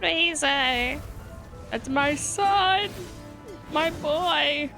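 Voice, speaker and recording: A woman's voice: high voice, very slow, vocal fry, pushed and bright, faint music behind. Playful woman streaming a video game. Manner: playful, reactive, casual swearing. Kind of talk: casual gameplay commentary.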